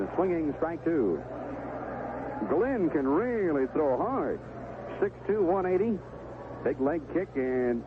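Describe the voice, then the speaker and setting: A man's voice: fairly high pitch, phone-quality sound. A man giving live baseball play-by-play on an old, noisy radio broadcast with stadium ambience behind him. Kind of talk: live radio sports commentary.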